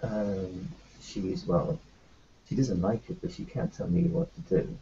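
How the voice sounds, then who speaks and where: A man voices his doctor character in a tabletop roleplaying session. He speaks in a lowish, gravelly voice that stumbles through ums and restarts. The recording is muffled and lo-fi. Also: sing-song, mellow, slight background noise. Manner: hesitant, halting speech with many ums and restarts. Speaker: tabletop player.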